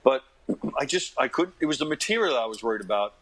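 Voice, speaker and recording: An American man in his fifties, an interview guest, talking casually in a rambling, self-deprecating way and often clearing his throat. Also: medium pitch, expressive, fairly quick, a bit bright, poor audio. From Long Island, New York, United States. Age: 50s. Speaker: interview guest, actor and comedy performer. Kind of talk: casual conversational storytelling.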